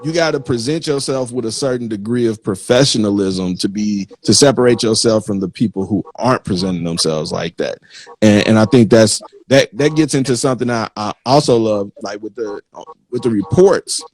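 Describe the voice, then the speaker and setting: A man's voice: lowish voice, moderate pace, a little mellow, no echo. A man hosting a casual interview, talking informally with slang and acting out imagined quotes as he goes. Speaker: interviewer, magazine host.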